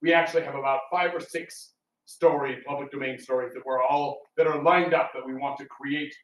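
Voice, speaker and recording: A man's voice: medium pitch, fairly even intonation, a little fast. A man giving an informal live talk as a theatre presenter. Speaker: radio theatre co-founder and presenter. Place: public library room. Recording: echoey room, distant mic.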